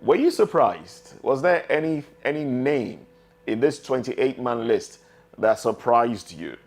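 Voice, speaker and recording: A man's voice: medium pitch, expressive, unhurried, creaky, darkish tone. A man with a Nigerian accent hosting a live news discussion, talking conversationally and addressing viewers and guests directly. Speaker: TV news show host.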